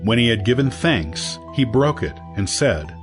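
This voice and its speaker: A man reading scripture as an audio Bible narrator, in a measured, declarative scripted style. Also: medium pitch, sing-song. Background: background music.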